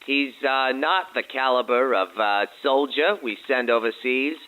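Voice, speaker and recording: A man playing a halting government press secretary making official statements through a narrow broadcast filter. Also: medium pitch. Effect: band-limited archival broadcast filter. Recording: thin, phone-like press briefing tape.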